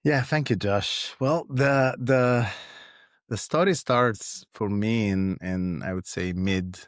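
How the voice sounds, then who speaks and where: Italian guy, deep low voice, thinking out loud with lots of ums, dry close mic. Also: slow pace, no echo. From Italy. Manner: thoughtful, frequent fillers and restarts. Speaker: guest, foundation executive. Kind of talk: conversational interview answers.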